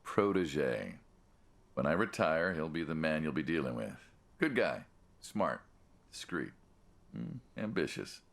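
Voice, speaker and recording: An actor playing a patient middle-aged mob hitman in a video game, soft-spoken, dry and quietly probing. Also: rumbling low, very animated, a little slow, gravelly, full character voice. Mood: measured, persuasive. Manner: dry, understated, inquisitive. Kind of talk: naturalistic video game acting.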